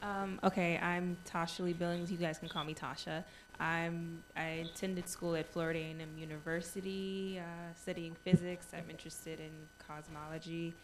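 A woman introduces herself to a new cohort in a relaxed, friendly way. Her voice sits fairly low for a woman, with faint room ambience behind her. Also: normal speed, few pauses, a little hiss or hum. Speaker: new graduate student. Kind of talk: self-introduction.

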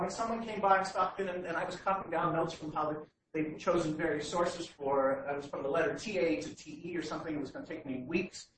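A man's voice: fairly high pitch, a bit bright, recorded from a distance. A Canadian man giving a public lecture without slides, talking hesitantly with many fillers and restarts. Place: large hall. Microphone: distant room mic. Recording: very echoey hall, heard from afar, faint noise.

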